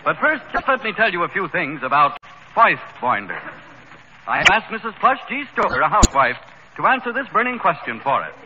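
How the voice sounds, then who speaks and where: A man's voice: high voice, very animated, a little fast. A man playing a pompous crime-show announcer in a declamatory 1930s radio comedy style. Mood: mock grandiose. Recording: noisy, muffled 1930s radio recording.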